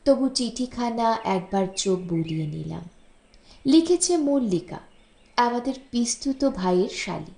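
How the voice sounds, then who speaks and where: A woman reading a literary short story as an audiobook narrator, in a measured storytelling manner. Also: fairly high pitch, expressive, creaky, mellow. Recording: echoey room, music bed underneath. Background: background music.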